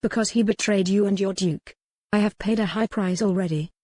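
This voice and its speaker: A woman's voice: mid-range voice, brisk, dark tone, no room sound, natural voice. A woman narrator playing a proud young noblewoman, formal and earnest, defiant yet remorseful.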